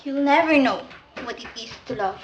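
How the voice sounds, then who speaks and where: A woman's voice: sits high, moderate pace, far from the mic, dull audio, soft background music, close to an everyday voice. An actress playing a distraught young woman sliding into madness, with a theatrical, declamatory delivery in an echoey room. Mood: bitter, anguished. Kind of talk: melodramatic stage acting.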